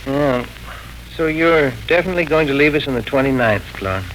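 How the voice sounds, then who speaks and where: An actor playing a laid-back, teasing uncle with a colloquial way of talking in a 1940s radio serial. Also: male voice, medium pitch, lively intonation, fairly quick, fairly bright tone, close to an everyday voice. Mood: casual, probing. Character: easygoing, teasing uncle and grown son. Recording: noisy 1940s radio recording.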